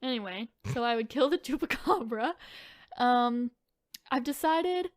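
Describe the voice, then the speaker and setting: A woman with a fairly high voice chats as a podcast co-host. Her talk is playful, informal and peppered with swearing and "like". The sound is very dry. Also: lively intonation, a little slow, no room sound. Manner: informal and profane, with frequent "like". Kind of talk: casual playful banter.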